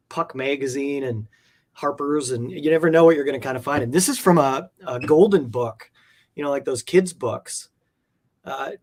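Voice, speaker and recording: A man talking casually as an interview guest, with an enthusiastic, rambling, conversational manner. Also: medium pitch. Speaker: guest, comic art collector.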